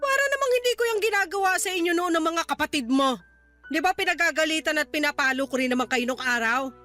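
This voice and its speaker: A woman's voice: sits high, animated, quick pace, bright, forceful tone, faint music behind. A woman playing a stern, short-tempered Filipino grandmother who scolds and dismisses her grown daughter in a radio drama. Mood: scolding.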